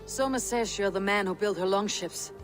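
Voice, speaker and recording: A steady, terse Viking warrior woman played by an actress in a video game. She speaks in measured, firm phrases at a medium pitch, with prominent music underneath. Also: lightly acted. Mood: calm, friendly. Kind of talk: video game cutscene acting.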